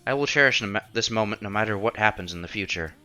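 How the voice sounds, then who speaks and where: A man's voice: fairly low pitch, quick pace, bright, forceful tone, soft background music. A man narrating a visual novel aloud as a streamer, expressively and acting out the dialogue.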